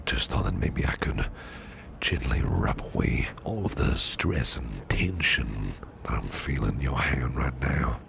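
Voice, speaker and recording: Man acting a very deep, gravelly, whispering protective boss. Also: lively intonation, background noise, heavily acted. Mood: soothing, tender. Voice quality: breathy near-whisper with gravelly fry. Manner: tender, slow, reassuring murmur. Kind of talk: ASMR roleplay, soothing personal attention.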